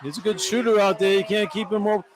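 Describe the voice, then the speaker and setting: A man's voice: sits high, fast. Blunt male basketball analyst, faint arena noise. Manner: opinionated, blunt, informal. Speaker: color commentator. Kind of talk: live radio basketball analysis. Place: broadcast position at an indoor arena.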